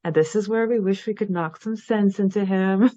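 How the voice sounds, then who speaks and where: A woman's voice: medium pitch, moderate pace, dark tone, dry recording, muffled recording. A woman co-hosting a podcast, chatting casually and conversationally about a book, with a giggly manner. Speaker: podcast co-host. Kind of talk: casual book discussion chat.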